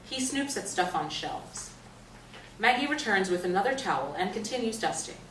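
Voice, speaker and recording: A woman's voice: mid-range voice, animated, normal speed, a bit bright. A woman narrator reading stage directions aloud at a staged reading. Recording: echoey stage, distant mic, noisy room.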